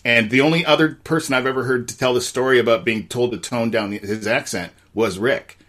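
A man hosting a podcast, asking questions in a relaxed, agreeable way. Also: medium pitch. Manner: relaxed, agreeable, quick short affirmations. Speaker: podcast host. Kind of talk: casual interview chat.

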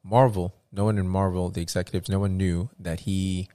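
A man with a very low voice talks through a casual chat and keeps circling back on himself with fillers and restarts. The sound is dry and close, with no room around it. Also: brisk, dry recording. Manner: rambling, animated, many restarts and fillers.

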